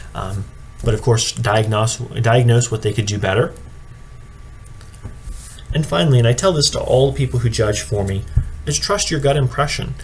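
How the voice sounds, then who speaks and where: Male instructor, average pitch, measured explanatory delivery for a judge-training presentation, audible noise behind the voice. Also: low-quality recording. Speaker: speech and debate coach training judges. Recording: clearly audible background noise.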